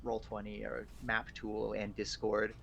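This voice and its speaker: A man chatting casually as a podcast guest, friendly and quick to laugh. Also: lowish voice, completely flat delivery, gravelly, fairly bright tone, slight background noise. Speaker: guest voice actor.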